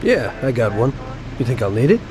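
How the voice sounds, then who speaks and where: A man's voice: mid-range voice, big pitch swings, a little fast, over music, close to an everyday voice. A man playing a loyal, deferential younger mobster in a video game cutscene. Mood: obliging. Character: deferential, loyal younger male mob henchman.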